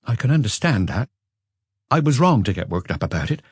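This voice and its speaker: A man's voice: lowish voice, sing-song, a little fast, a little mellow, slightly rough, no room sound, studio quality, exaggerated voice. A man voicing a weary businessman under police questioning, earnest, wordy and self-justifying, in an audiobook. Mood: defensive.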